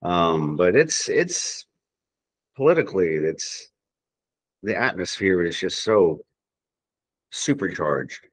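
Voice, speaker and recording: Deep-voiced rambling man. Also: animated, slow pace, long pauses, slightly rough. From Tennessee, USA. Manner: rambling, hesitant, with many restarts and fillers. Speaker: guest. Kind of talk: casual podcast chat.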